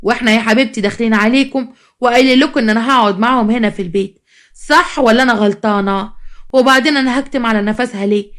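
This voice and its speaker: A woman's voice: a little high, very fast, a bit bright, lightly acted. A woman storyteller voicing a meddling middle-aged mother-in-law with an Egyptian accent, reproachful and slyly mock-sweet. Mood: needling. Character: meddling, needling mother-in-law of a new bride. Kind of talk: dramatized storytelling.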